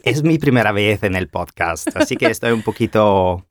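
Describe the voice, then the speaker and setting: A man from northern Italy with an Italian accent, speaking Spanish as a learner on a podcast, searching for words and pausing often. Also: on the low side, expressive, noisy background. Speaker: guest language student. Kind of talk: casual chat.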